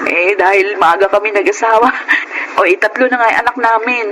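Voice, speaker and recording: A woman's voice: mid-range voice, fairly quick, noisy background, close to an everyday voice. An actress playing a fallible, self-concerned young female schoolmate in a Filipino radio drama. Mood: sheepish. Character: Giselle, irritable scheming schoolmate, later humbled wife.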